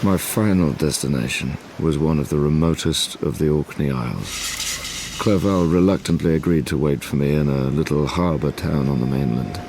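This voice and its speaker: A man's voice: rumbling low, moderate pace, background noise. A male actor playing a brooding, obsessive scientist in a gothic audio drama, formal and intense. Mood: grim, haunted.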